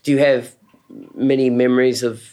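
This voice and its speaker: A male interview host with an ordinary mid-range voice. He keeps the chat moving and encourages his guest with steady murmurs of agreement. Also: medium pitch, moderate pace. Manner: encouraging, lots of short acknowledgements. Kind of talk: casual interview.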